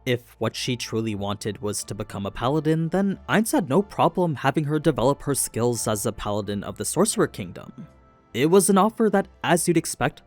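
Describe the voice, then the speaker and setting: A man reading a scripted story recap in an explanatory storytelling manner, stressing the turns in the tale. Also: mid-range voice. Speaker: narrator of anime lore recaps. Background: background music.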